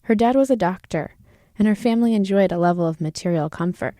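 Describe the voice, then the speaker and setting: A woman reporter narrating a radio story in a clear, explanatory way. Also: mid-range voice, fast, warm tone, dry recording.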